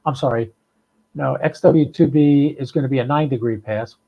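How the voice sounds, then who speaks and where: A man's voice: medium pitch, moderate pace, vocal fry, dull audio. An American man hosting a casual, unscripted livestream, talking in a folksy, rambling, informal way. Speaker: podcast and livestream host.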